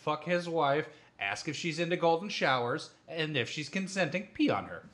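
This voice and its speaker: A man's voice: on the high side, lively intonation, normal speed, a bit bright. A man co-hosting a casual, bantering chat in a crude, jokey manner. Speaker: podcast co-host.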